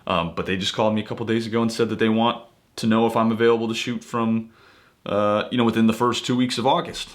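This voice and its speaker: A man with a fairly low-pitched voice speaks as an actor passing on advice to viewers. His delivery is casual and conversational. He sounds earnest, restating key points to drive them home. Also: fairly even intonation, quick pace. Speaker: actor giving acting tips. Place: home office.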